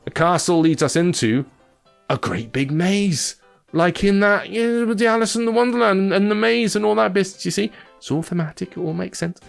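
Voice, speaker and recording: A man's voice: high-pitched, a little fast, vocal fry. Chatty man doing casual gameplay commentary. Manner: chatty, informal, slangy. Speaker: games presenter. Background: music.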